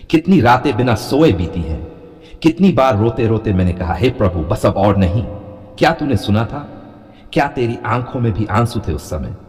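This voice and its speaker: A man's voice: lowish voice, long reverb tail. A man leading an earnest, pleading devotional prayer in a rhythmic, repetitive cadence. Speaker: prayer leader.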